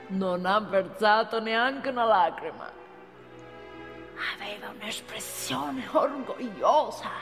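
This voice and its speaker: A woman's voice: fairly high pitch, fairly bright tone, exaggerated voice. A woman playing an anxious, devoted female friend in a theatrical monologue, earnest, emotional and colloquial. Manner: emotional, colloquial, repetitive urging. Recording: reverberant theatre hall.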